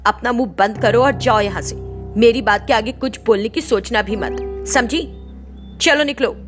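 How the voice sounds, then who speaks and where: Female narrator as aloof film star, mistress of the house, imperious, curt, higher-pitched, faint music. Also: normal speed, close to an everyday voice. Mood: irritated, curt.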